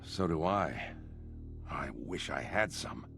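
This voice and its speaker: An actor playing a weary, courteous middle-aged police inspector in a video game, formal and measured in manner. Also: male voice, a bass voice, vocal fry, music in the background, full character voice. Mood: frustrated, weary. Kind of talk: dramatic video game cutscene acting.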